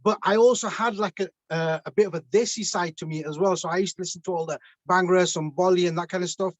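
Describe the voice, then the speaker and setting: A man from England hosts a casual radio chat, stumbling over lots of ums and restarts. His voice is high and the sound is dry and close. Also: fairly even intonation, quick pace, no room sound. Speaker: radio host.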